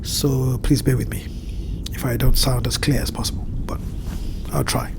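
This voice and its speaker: A man with a blocked nose and a slightly nasal voice, walking through a chart with measured explanations and frequent pauses to find his words. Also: mid-range voice, fairly even intonation, gravelly, background noise. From Southern Hemisphere. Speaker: crypto market analyst. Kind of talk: screen-share chart walkthrough. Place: home at evening, indoors.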